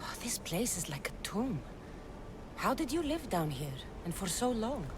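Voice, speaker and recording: A woman's voice: mid-range voice, big pitch swings, measured pace, noisy background. A woman acting a tough, guarded young Greek warrior in a video game, blunt and defiant in manner. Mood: skeptical.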